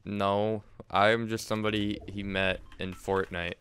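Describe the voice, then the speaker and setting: Young guy streaming games, excitable, smooth lowish voice, desk mic. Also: measured pace. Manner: excitable, repeating himself. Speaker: gaming streamer. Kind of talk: casual gameplay chat. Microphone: desk mic, wearing headset.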